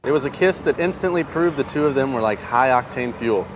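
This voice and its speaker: A man reading a romance passage aloud a little haltingly. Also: medium pitch, animated. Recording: noisy outdoor traffic ambience.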